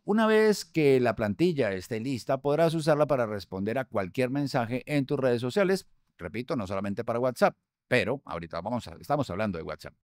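Upbeat man hosting a software tutorial. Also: mid-range voice, animated, a little fast, no room sound, studio quality. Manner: upbeat, conversational, with filler hesitations. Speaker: host. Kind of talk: tutorial explaining restaurant software. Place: studio room. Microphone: studio mic on boom arm.

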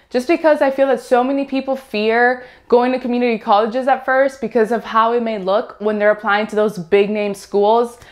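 A young woman hosts with a bright, upbeat manner and a fairly high voice. Her lines are delivered in a clear scripted way. She speaks into a lapel mic in a studio. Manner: upbeat, enthusiastic. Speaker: host. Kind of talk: scripted channel host talk. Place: studio before green screen, indoors.

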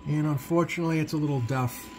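A man giving a casual, unscripted hands-on demonstration to viewers, halting and thinking aloud as he works. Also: fairly high pitch, steady tone, slight background noise. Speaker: radio repair hobbyist, video host. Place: indoor home electronics workbench.

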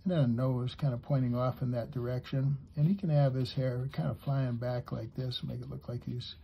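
Husky man teaching a drawing lesson. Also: mid-range voice, fairly even intonation, normal speed, lo-fi sound. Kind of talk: step-by-step drawing lesson for kids, talking while drawing.

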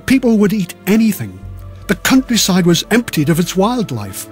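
A man's voice: high voice, huge pitch swings, moderate pace, faint music behind. A man reading a scripted comic history audiobook in a theatrical, wry storytelling manner. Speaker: audiobook reader.

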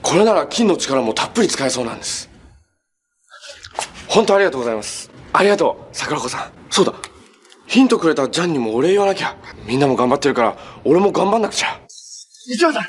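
A man's voice: fairly high pitch, very animated, fast talker, long pauses, breathy edge. An actor playing a cheerful, earnest young male hero in a TV drama, talking politely and upbeat. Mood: grateful, eager, then battle shouting. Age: young adult. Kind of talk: live-action TV drama acting. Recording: TV soundtrack with faint noise.

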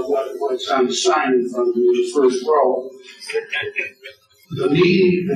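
A middle-aged man, a lawyer, giving an earnest, urgent speech from a podium, telling stories and repeating key points for emphasis. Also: mid-range voice. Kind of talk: speech to an audience. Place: large hall, on stage at a podium. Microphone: podium microphone on a stand. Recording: echoey hall, distant, slightly dull.

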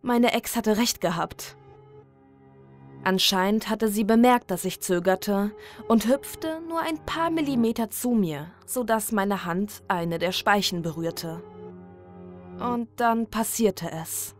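A woman narrating a scripted first-person horror story in a measured, dramatic manner. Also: medium pitch, faint music behind.

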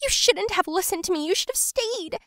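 A woman voicing an insecure young woman who pleads and turns bitter, in a high, emotional voice. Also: expressive, slow pace, a bit bright, no room sound, studio quality, heavily acted.

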